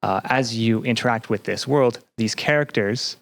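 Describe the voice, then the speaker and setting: A man speaking as a podcast guest, explaining things casually with hesitant, thoughtful, halting phrasing. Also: medium pitch, creaky, fairly bright tone, dry recording. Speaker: podcast guest, game developer and researcher.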